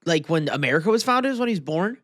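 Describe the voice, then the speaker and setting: A man's voice: fairly high pitch, big pitch swings, fast talker, fairly bright tone, no room sound, studio quality. A man chatting casually as a podcast co-host, talking in a slangy, incredulous way. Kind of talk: casual chat.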